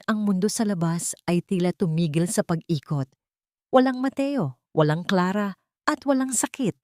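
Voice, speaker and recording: Female storyteller, Filipino accent, lower register, solemn suspenseful audio drama narration, close dry sound. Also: a little mellow, no room sound.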